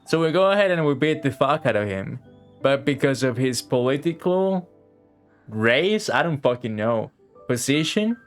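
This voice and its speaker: Young male game streamer: mid-range voice, slangy, sweary, off-the-cuff commentary with faint music behind. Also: mid-range voice. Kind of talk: casual live gameplay commentary.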